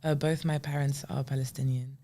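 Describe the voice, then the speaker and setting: Creaky-voiced young woman. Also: extremely low pitch, little pitch movement, a little fast, warm tone. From France. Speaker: podcast guest, singer. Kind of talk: casual interview chat.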